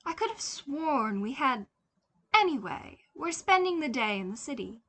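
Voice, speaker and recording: A woman's voice: sits high, big pitch swings, a little slow, fairly bright tone, pitched above the performer's own voice, exaggerated voice. A woman voicing a prim, wealthy mother with a formal, clipped, airily condescending manner in an audiobook-style story. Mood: airy, commanding. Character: prim, coolly commanding wealthy mother of a young boy.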